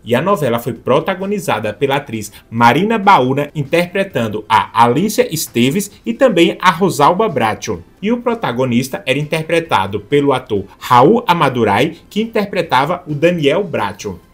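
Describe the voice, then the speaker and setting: A man's voice: fairly high pitch, sing-song, moderate pace, hardly any pauses, breathy edge. A Brazilian man hosting a video, narrating a script about television history. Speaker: YouTube host.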